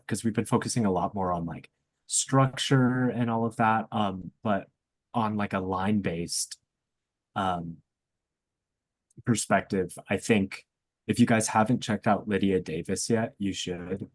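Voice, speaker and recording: A man's voice: low-pitched, no room sound. A young man in a casual discussion on a student podcast, talking in a hesitant, thoughtful, informal way.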